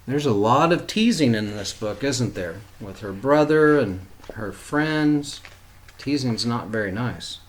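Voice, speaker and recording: A man reads a children's storybook aloud as a teacher would. His medium-pitched voice is very expressive, moving freely up and down. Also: unhurried.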